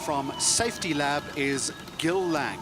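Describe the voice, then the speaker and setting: A man's voice: a little high, takes it slowly, very noisy. A man formally introducing the next speaker at an event. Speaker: event announcer.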